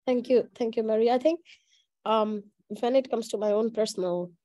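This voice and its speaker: A woman's voice: fairly high pitch, moderate pace, dark tone, dull audio. A young woman giving earnest panel remarks as a youth advocate on a video call. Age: young adult.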